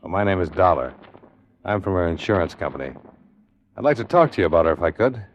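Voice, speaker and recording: Cool hardboiled investigator, low American voice, clipped and understated, old radio crime drama with dulled sound. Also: male voice, animated, fast talker, slight background noise. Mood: calm, probing. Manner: clipped, matter-of-fact, understated. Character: cool, hardboiled male insurance investigator, narrating his cases. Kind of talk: 1950s radio detective drama acting and narration. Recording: dull old radio recording, music underneath.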